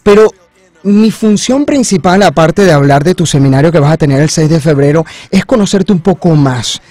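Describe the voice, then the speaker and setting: High-voiced, enthusiastic male radio host. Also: expressive, normal speed, a little mellow, no room sound. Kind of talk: conversational radio interview. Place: small radio studio. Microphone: studio microphone on boom arm, headphones.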